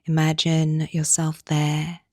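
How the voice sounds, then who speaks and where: A woman guiding a yoga nidra meditation, speaking softly and soothingly in a breathy voice with long pauses between phrases. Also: low voice, monotone, no echo, studio quality. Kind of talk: guided meditation narration.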